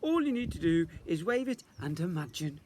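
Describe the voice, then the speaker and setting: A man's voice: a little high, big pitch swings, normal speed, darkish tone, slight background noise, pitched above the performer's own voice. A man voicing a cheerful, flamboyant male fairy teacher from a storybook, encouraging his class in an upbeat, projected manner.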